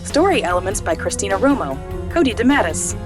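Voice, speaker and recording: An actress playing a formal robotic announcer, reading scripted audio drama credits to listeners. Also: female voice, medium pitch, sing-song, a little fast, close to an everyday voice. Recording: music behind the voice.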